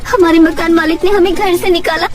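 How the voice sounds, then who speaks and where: Poor cartoon wife, outraged, pitched very high a female narrator acting her, music behind. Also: fairly even intonation, a little fast, recorded from a distance. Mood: indignant. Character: indignant wife of a poor evicted family. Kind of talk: emotional cartoon dialogue.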